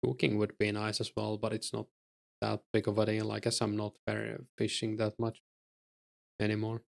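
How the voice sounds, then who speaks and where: A man's voice: fairly low pitch, completely flat delivery, moderate pace, vocal fry. A man with a Finnish accent chatting casually with his stream chat while he plays, answering viewers' questions with an easygoing, filler-laden delivery. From Finland. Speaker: game streamer.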